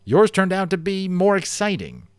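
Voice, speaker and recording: A secretive young schoolboy from a children's storybook, his voice lifted noticeably higher as a man reads him aloud. He talks earnestly and in guarded, hesitant snatches, as if he is keeping something to himself. The sound is dry and close. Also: big pitch swings, normal speed, smooth, no echo. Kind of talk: storybook character voice.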